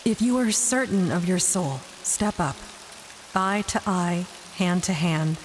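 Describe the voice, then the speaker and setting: A woman's voice: on the low side, a little slow, a little hiss or hum, close to an everyday voice. A woman playing an earnest, curious and bold adventurer in an audio drama. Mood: solemn, explaining. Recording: long hall reverb under music.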